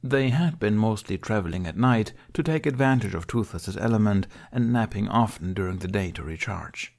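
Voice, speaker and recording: A man with a fairly low voice narrates an audiobook. He tells an adventure story in an expressive, scripted storytelling style. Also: normal speed, vocal fry.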